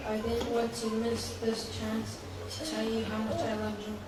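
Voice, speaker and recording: A woman's voice: fairly high pitch, monotone, normal speed, a loud crowd. A woman giving a prepared, affectionate tribute as a family member at a birthday celebration. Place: large echoey room at a party. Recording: very echoey, loud noise, distant microphone.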